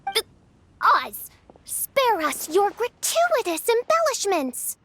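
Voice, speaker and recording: A woman's voice: very high-pitched, big pitch swings, slow, a little hiss or hum, heavily acted. A woman voicing a haughty, theatrical teenage girl who poses as a princess in a video game, speaking in pompous, archaic phrases. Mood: flustered. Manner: pompous, archaic, grandiose diction.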